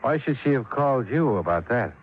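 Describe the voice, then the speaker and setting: An actor playing a terse, unflappable insurance investigator with an American accent, clipped and dry, in hard-boiled old radio style. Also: male voice, mid-range voice, expressive, fairly quick, husky. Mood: brisk, probing. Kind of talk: hard-boiled 1950s radio drama acting and narration. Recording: muffled old radio recording, music behind.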